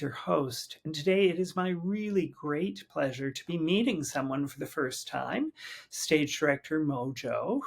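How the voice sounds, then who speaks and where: A man hosting an interview podcast, reading a measured introduction, then asking questions with small restarts. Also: fairly high pitch, vocal fry, slightly rough. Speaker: podcast host.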